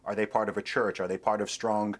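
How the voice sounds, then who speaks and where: A man with a low, grounded voice speaks as a TV debate guest. He works through his case step by step, stacking one point onto the next in an even, measured delivery. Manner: steady, builds points in lists. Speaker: guest commentator. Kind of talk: measured on-air commentary.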